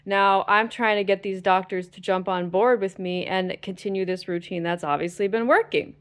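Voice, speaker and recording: A woman's voice: mid-range voice, steady tone, normal speed, clear, resonant tone. A woman vlogging to camera, stressed and exasperated, venting with frequent swearing. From United States. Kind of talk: ranting to camera.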